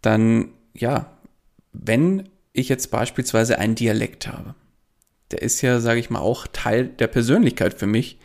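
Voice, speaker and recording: A man's voice: medium pitch, measured pace, creaky, a little mellow. Man hosting a podcast, asking questions. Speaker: podcast host. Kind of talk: casual podcast interview.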